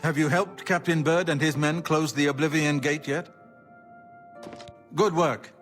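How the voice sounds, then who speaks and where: An actor playing a grave, dutiful grand master of a knightly order in a video game, measured and formal. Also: male voice, on the high side, steady tone, soft background music, natural voice. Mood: grave, urgent.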